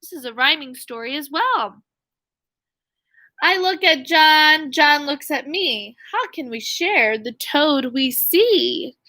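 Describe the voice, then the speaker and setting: High-pitched, bright woman teacher reading aloud. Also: takes it slowly. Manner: expressive, addressing young children with questions and asides. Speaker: elementary school teacher. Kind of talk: children's story read-aloud with teaching asides.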